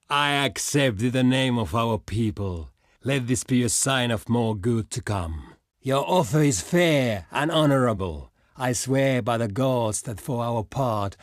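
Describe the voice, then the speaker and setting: Proud, slow-spoken barbarian envoy. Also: male voice, mid-range voice, dry recording, studio quality. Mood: reluctant, grave. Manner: declamatory, weighty, formal oratory. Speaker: diplomat character in a strategy game. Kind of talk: theatrical scripted game voice lines.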